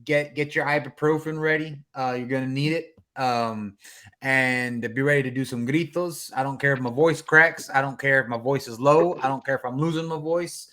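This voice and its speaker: A man's voice: medium pitch, fairly even intonation, normal speed, bright, forceful tone. A man hosting a sports fan podcast, chatting loosely with plenty of ums and restarts. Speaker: podcast host. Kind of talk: casual fan podcast chat.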